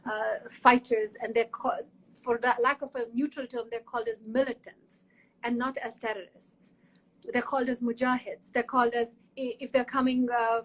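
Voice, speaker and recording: Earnest high-voiced woman. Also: poor audio. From Kashmir. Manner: earnest, frequent restarts and repetitions. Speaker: guest academic in conversation. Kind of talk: conversational interview talk.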